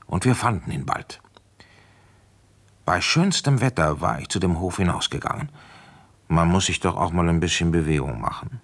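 A low-voiced, middle-aged police commissioner in a radio drama. He is world-weary and sardonic, grumbling with gruff resignation about his small-town post. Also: fairly bright tone, a little hiss or hum, heavily acted. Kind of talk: German radio play acting and first-person narration.

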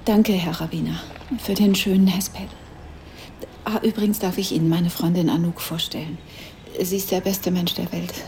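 An actress playing a gracious, composed bereaved wife in a naturalistic radio drama, with a mellow voice. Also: female voice, on the low side, fairly even intonation, moderate pace, vocal fry, a little hiss or hum. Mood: gracious, subdued. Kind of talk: naturalistic German-language radio drama acting.

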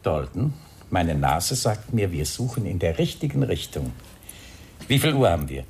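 An actor playing a sardonic, brusque police inspector in a German radio crime drama, with a dry, teasing, probing way of talking. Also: male voice, low voice, big pitch swings, moderate pace, a little mellow, slight background noise.

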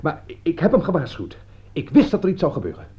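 A man acting a nervous, evasive, timid gentleman who stammers and hesitates in a Dutch radio play, with a rough voice. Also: a little high, huge pitch swings, fast, full character voice. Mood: flustered, hedging. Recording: dull, lo-fi radio play recording.

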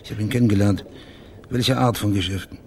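Male private investigator, played by an actor, radio crime play: low register, plain-spoken, probing, background ambience audible. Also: fairly even intonation, a little fast, darkish tone, a little hiss or hum, natural voice. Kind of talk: German radio crime drama acting.

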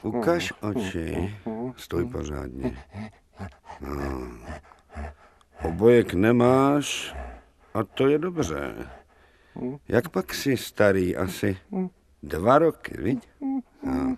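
An actor playing a brusque, commanding gentleman in a radio drama, given to curt orders and exclamations. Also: lowish voice, sing-song, creaky, pauses often. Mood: brisk, commanding. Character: commanding, brusque gentleman taking in a stray dog.

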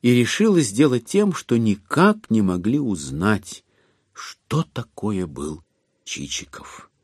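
A man narrating a literary audio drama, with expressive, ironic and deliberate phrasing. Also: fairly low pitch, slightly rough, no room sound, clean studio sound.

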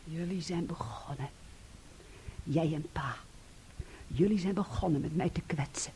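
An actress playing a bitter, defiant young daughter in a Dutch radio play, with an intense, cutting and dramatic delivery. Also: female voice, sits low, a little slow, warm tone, a little hiss or hum. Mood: bitter accusing. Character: bitter, defiant grown daughter, fiercely accusing and pleading.

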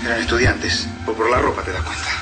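A man's voice: medium pitch, quick pace, lightly acted. An Argentine actor playing a frightened, hot-headed scout in a radio drama, blurting his lines urgently. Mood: grim, stunned. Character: frightened, hot-headed young resistance scout fleeing invaders. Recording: loud music and effects behind.

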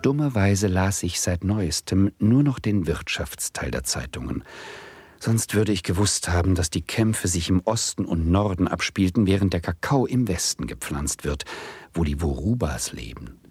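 An actor playing an anxious, greedy amateur speculator who narrates his own folly in a radio drama, husky and breathy. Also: sits low, expressive, normal speed, darkish tone, no room sound, full character voice. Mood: wry, rueful. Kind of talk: 1970s German radio drama, first-person narration.

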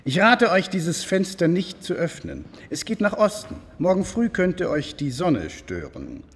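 An actor playing a proud, courteous lord of a medieval castle in a radio drama, speaking ceremoniously. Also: male voice, high-pitched, wildly swooping pitch, a little slow, husky, full character voice. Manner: ceremonious, explanatory. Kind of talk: literary radio drama acting. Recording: long hall reverb.

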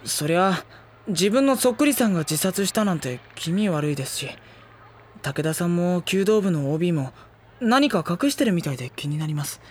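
An actor playing a reserved high school boy in an audio drama, narrating and talking in a polite, dry, exasperated manner. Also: big pitch swings, normal speed, husky, heavily acted.

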